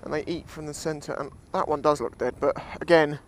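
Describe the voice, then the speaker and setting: A man's voice: on the high side, steady tone, a little fast, slight background noise. A British man giving casual, jokey, sweary commentary as he vlogs outdoors on a seashore. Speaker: beachcombing vlogger.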